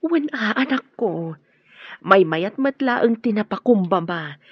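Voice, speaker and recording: An actress with a husky voice and Ilocano accent playing a worried, humble, caring mother in a radio drama. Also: mid-range voice, expressive, takes it slowly, highs cut off. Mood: worried, advising.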